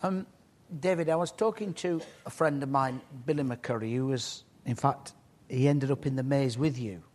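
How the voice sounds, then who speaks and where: A man interviewing a guest, asking halting questions with friendly teasing jokes. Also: medium pitch, a little mellow.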